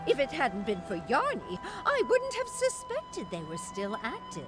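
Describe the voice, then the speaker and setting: An elegant former actress in a story game, speaks high and with wildly expressive melody. She is dramatic and sentimental, lilting like a storyteller. Music plays clearly behind her. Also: unhurried, full character voice. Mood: theatrical, confiding. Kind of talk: video game story dialogue. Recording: background music under the voice.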